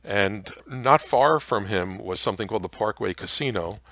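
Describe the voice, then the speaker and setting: An American man presenting an informal history lecture, explaining in an anecdotal way with halting phrasing. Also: fairly low pitch, fairly bright tone, no room sound. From Mount Vernon area, New York, United States. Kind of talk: informal slide lecture on old-time radio history.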